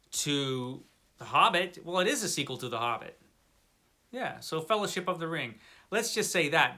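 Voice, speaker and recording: Middle aged guy doing a casual book vlog, bright crisp-edged voice, kinda dry and playful, repeats himself. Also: fairly high pitch. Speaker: book vlogger. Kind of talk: casual unscripted chat to camera.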